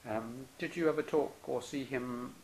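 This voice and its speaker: A male interviewer with a gravelly, creaky voice at an ordinary pitch. He asks his questions politely and haltingly, filling gaps with ums. A faint hiss sits under the recording. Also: low-quality recording. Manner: polite and hesitant, many ums and soft "mm"s. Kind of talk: oral history interview.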